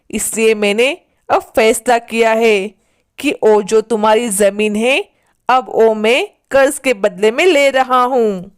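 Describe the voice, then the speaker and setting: Woman acting a slow, scolding greedy moneylender man. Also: a little high, clean tone. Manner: scolding, slow emphatic demands. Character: stern greedy male moneylender, scolding and unyielding. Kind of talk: storybook character acting.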